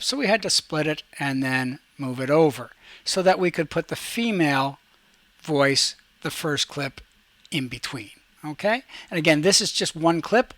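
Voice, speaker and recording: A man with a fairly high voice leads a step-by-step software lesson. He explains each action aloud as he does it, in an instructional manner, and the sound is dry and close. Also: no echo. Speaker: teacher and tutorial host. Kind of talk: screen-recorded software tutorial, step-by-step instruction.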